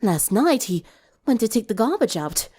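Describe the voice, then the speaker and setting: A weary teenage schoolgirl, sad and worried, as a woman narrator puts her on. She speaks in a subdued, halting manner that gets breathy. It is a dramatic storybook performance of a fragile, troubled girl. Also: fairly high pitch, very animated, darkish tone. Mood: upset, worried.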